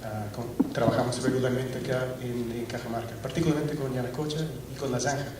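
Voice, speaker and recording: A middle-aged man addresses a crowded auditorium, his mid-pitched voice carrying from a distance across a very echoey hall. He stops and restarts often, leaning on fillers as he works through a technical talk. A faint hum of noise sits under him. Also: level tone, a little fast, darkish tone. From Scotland. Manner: hesitant, many fillers and restarts. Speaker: conference speaker. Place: large auditorium. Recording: echoey hall recorded from afar.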